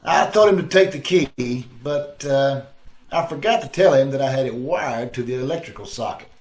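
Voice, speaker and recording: A man's voice: medium pitch, normal speed, close to an everyday voice. Man acting cocky 1940s radio sleuth, roomy echo. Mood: smug, wisecracking. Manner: wisecracking, slangy. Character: Blackie, cocky, quick-witted male amateur sleuth. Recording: roomy, echoing video-call audio.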